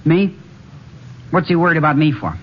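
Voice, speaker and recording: A defiant young male jockey played by an actor, bitter and curt in old radio drama style. His highish voice moves animatedly in pitch on a dull, slightly noisy vintage recording. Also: animated, moderate pace, lightly acted. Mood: guarded, curt. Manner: clipped, confrontational.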